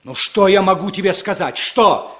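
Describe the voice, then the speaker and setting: A man's voice: sits high, animated, normal speed, a bit bright. An actor playing an evasive, bitter long-lost older brother in a 1960s Soviet radio drama, emotional, repeating his words. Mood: defensive, pleading.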